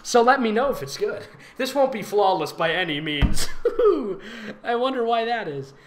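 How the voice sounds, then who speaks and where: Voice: medium pitch, big pitch swings, a little slow. A theatrical, sarcastic and giggly host reading fan fiction aloud with mocking comedic commentary. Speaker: fanfic reader and voice actor hosting a reading. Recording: roomy echo, faint background noise.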